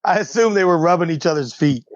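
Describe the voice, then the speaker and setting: A man's voice: fairly high pitch, animated, fast talker, no echo. A man playing a tabletop role-playing game, talking casually and improvising, on a dull lo-fi recording with music behind.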